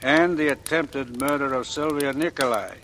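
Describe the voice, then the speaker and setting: An actor in a 1940s radio drama playing a stern, formal police officer making an arrest. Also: male voice, medium pitch, normal speed, fairly bright tone, close to an everyday voice. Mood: stern, official. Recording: reverberant, faintly noisy vintage radio recording.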